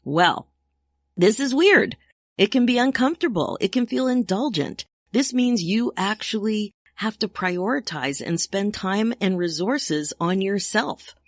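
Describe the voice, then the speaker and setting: A woman's voice: mid-range voice, animated, measured pace, no echo. A woman in her sixties hosting a solo podcast as a coach, chatty and upbeat with frequent laughs. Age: 60s. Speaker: podcast host and midlife coach.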